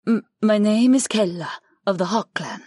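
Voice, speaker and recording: A woman's voice: medium pitch, lively intonation, moderate pace, dark tone, husky, dry recording, clean studio sound. A woman voicing a wary, earnest young female hawk shapeshifter in an audiobook, with careful formal phrasing. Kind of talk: audiobook character dialogue.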